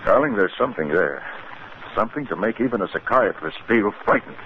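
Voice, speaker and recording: An American actor playing an alarmed, obsessive male psychiatrist in a 1950s radio drama, speaking gravely and urgently. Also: lowish voice, expressive, a little fast, close to an everyday voice. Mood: frightened, urgent. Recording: scratchy, narrow-band 1950s radio recording.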